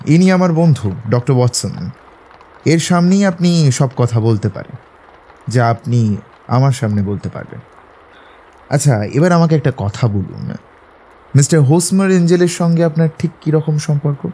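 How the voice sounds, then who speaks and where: An actor playing a composed detective, asking measured, inquisitive questions in an audio drama. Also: male voice, on the high side, animated, dark tone, slightly rough, poor audio. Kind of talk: dramatised audio story acting.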